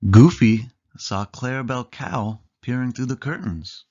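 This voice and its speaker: A man's voice: mid-range voice, measured pace, warm tone, highs cut off. A man narrating a children's picture book aloud, leaning emphatically on key words. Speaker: storybook reader.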